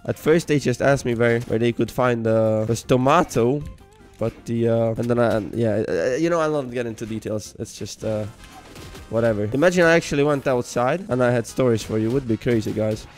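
Young male streamer, Hungarian accent, mid-pitched, loose rambling chat with sudden yells, soft music behind. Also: fairly quick, few pauses. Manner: rambling, joking, reads chat messages aloud, sudden excited yells. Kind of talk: casual gaming livestream chat. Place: home room.

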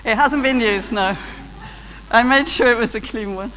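A woman's voice: on the high side, moderate pace, a bit bright. A British woman giving a chatty, self-deprecating keynote talk on stage with a handheld mic. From Britain. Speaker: keynote speaker. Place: large auditorium stage with audience. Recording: long hall reverb, faint hum.

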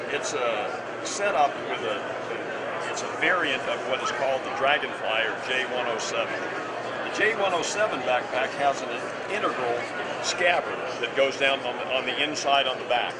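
A man's voice: medium pitch, lively intonation, moderate pace. American guy in his 50s explaining a product step by step, really noisy expo floor, mic kinda far. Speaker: backpack company representative. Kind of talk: product demonstration. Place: busy indoor trade show floor. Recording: loud trade-show hall noise, distant mic.

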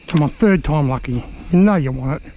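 A high-pitched Australian man talks his way through a fishing trip, chatty and excitable, cheering and chatting to each fish he lands. He is recorded outdoors on a riverbank with an action camera, with faint outdoor noise behind him. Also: brisk. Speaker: fishing vlogger. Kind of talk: casual running commentary while fishing.

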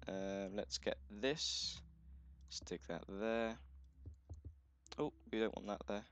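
A man with a croaky, just-woken voice, chatting casually through a gameplay video, thinking aloud and correcting himself as he goes. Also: fairly low pitch, slow, a bit bright. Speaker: let's play host.

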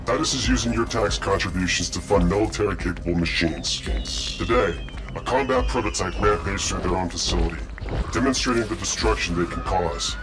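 A man playing an ominous spokesman for an underground hacker collective, reading a grave, measured propaganda narration in a video game. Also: sits low, lightly acted. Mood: grave, warning. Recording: music bed behind the voice.

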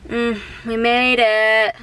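A young woman in her twenties talks unhurriedly and chattily into a handheld camera, a bit wry and worn out. Music plays clearly underneath her voice. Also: fairly high pitch, little pitch movement, slow, low-quality recording. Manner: chatty, wry, slightly tired. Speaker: lifestyle vlogger. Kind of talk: casual vlog narration. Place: car, grocery store and home kitchen. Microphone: handheld camera close to face. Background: background music.

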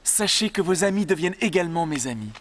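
A man's voice: high-pitched, lively intonation, fast talker, a crowd in the background. A man playing a noble, good-natured young king in a fairytale cartoon, speaking formally. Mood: gracious. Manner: formal, emphatic. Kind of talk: French-dubbed fairytale cartoon acting. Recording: loud music behind the voice.

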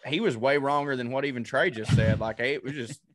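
A man in his thirties co-hosting a podcast, talking excitably and profanely with lots of laughs over a video call. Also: mid-range voice, brisk, no echo, studio quality. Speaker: podcast co-host. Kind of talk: casual chat. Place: small home room. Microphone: headphones, desk mic on video call.

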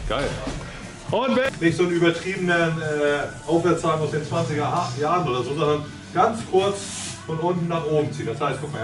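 A middle-aged man coaches a kickboxing session, brisk and full of jokes, calling out combinations and counts. His fairly high voice carries across a big echoing gym, picked up from far off by a camera. Loud music plays behind him. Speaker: kickboxing trainer. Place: large indoor gym.

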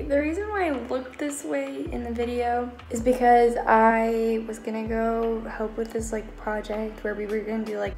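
A woman's voice: a little high, a little mellow. A teenage girl from Utah chatting casually for a vlog, nervous and giggly, filmed on a phone camera. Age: teens. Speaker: vlogger. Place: indoors at home, then inside a car. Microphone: phone camera at arm's length, then dashboard camera.

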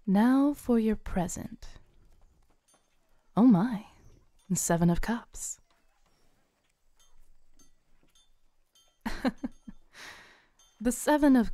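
Knowing female tarot reader, acted by a woman, audio roleplay; soothing, very slow delivery, dry close recording. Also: mid-range voice, no echo. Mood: intrigued, knowing. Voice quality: smooth, soft. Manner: unhurried, soothing, theatrical, with dramatic pauses. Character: kindly, playful, knowing Renaissance faire tarot reader woman. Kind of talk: soft-spoken audio roleplay addressed to the listener. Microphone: close studio mic, dry.

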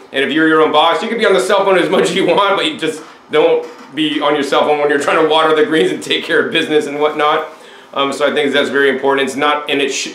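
A man's voice: medium pitch, a bit bright. Talkative male host in an echoey room. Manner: talkative, explains at length. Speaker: interviewer, show host. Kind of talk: casual interview. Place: home room with window, indoors. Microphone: lapel mic.

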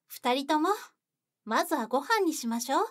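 Woman as a high-voiced gentle courtesan yokai. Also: expressive, normal speed. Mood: gentle, welcoming. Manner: soothing, polite feminine speech. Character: gentle big-sisterly long-haired female courtesan yokai. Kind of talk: Japanese anime-style voice drama.

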